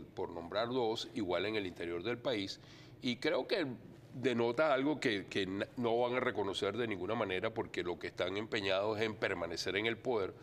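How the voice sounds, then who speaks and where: An older man from Venezuela, a TV studio guest talking earnestly and deliberately, with many fillers. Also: fairly low pitch, a little hiss or hum. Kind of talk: TV studio interview.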